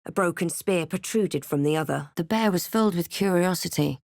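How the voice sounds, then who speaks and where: A woman playing a wary, snarky fantasy adventurer in a video game, speaking and narrating in a measured, wry, dramatic way. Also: fairly low pitch, vocal fry, darkish tone, no room sound, studio quality. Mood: solemn narrating.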